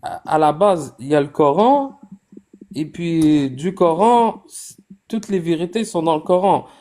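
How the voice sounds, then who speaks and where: Man calmly mediating a debate. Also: fairly high pitch, measured pace. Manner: conciliatory, explains calmly, mediating. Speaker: debate participant. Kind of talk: heated live debate.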